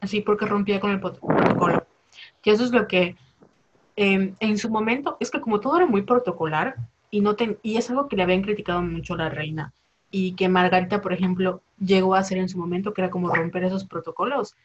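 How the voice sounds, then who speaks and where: A woman's voice: medium pitch, steady tone, fairly quick, highs cut off, slight background noise. A woman in her twenties with a Mexican accent, chatting casually and rambling, full of slang and fillers. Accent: Mexican Spanish. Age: 20s. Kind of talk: casual chat.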